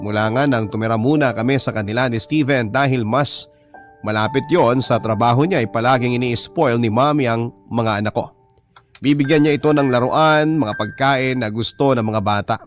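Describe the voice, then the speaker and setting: A man's voice: medium pitch, moderate pace, soft background music. A man narrating a radio drama, reading out a personal letter as a story.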